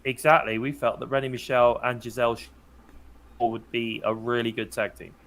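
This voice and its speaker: A British man with a slightly hoarse, croaky voice, chatting casually as a podcast co-host. He speaks at a mid pitch and keeps the talk moving, handing over to his co-hosts. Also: flat delivery, a little fast, fairly bright tone. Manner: chatty, leads the discussion and hands over to others. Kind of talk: casual wrestling podcast chat.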